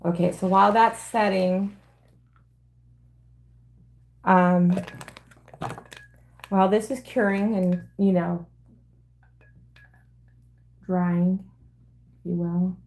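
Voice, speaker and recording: A middle-aged woman with a gravelly, slightly hoarse voice shows a craft project on a live stream. She talks slowly and rambles through each step. Her voice sits low and hardly rises or falls. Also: darkish tone. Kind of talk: casual live craft demonstration.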